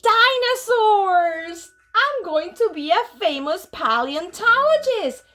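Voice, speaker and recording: A woman voicing a silly, excitable little boy cat from a storybook, exuberant and stretching out words. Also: very high voice, takes it slowly, slight background noise, pitched above the performer's own voice, full character voice. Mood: dreamy excitement.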